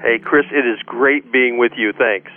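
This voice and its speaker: A man's voice: mid-range voice, fairly even intonation, normal speed, phone-quality sound, faint music behind. A man speaking conversationally as a guest expert in a radio interview. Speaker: interview guest, Bible scholar and author.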